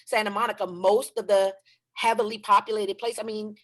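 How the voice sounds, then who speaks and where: A woman's voice: mid-range voice, flat delivery, fairly quick, a bit bright. A woman chatting casually on a video call before a table read. She is blunt and salty and speeds up when she gets riled. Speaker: table read cast member. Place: home in Los Angeles.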